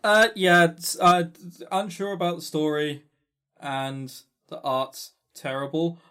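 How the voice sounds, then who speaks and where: A man's voice: fairly high pitch, flat delivery, takes it slowly, fairly bright tone, smooth. A man with a southern English accent, co-hosting a podcast with blunt, casual opinions. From south of England. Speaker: podcast co-host.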